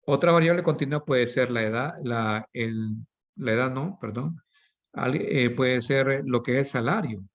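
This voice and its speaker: A man's voice: mid-range voice, a little slow. A man lecturing in a middle-range voice, walking his students through one example after another and tagging short check-in questions onto each point. The recording is a little dull and lo-fi, like a computer mic on an online class. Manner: asks "¿sí?" and "¿verdad?" constantly; self-correcting, explains with everyday examples. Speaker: teacher.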